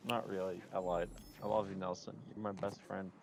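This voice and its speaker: A man's voice: low voice, level tone, brisk, creaky, a crowd in the background. A man chatting casually with friends over gaming voice chat, sweary and exasperated.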